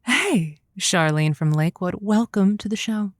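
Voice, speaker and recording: A woman's voice: medium pitch, a little slow, no echo, close to an everyday voice. A woman playing a feisty, folksy host of a lonely-hearts radio call-in show, with saucy turns of phrase. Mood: breezy, welcoming. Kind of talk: improvised comedic radio call-in hosting.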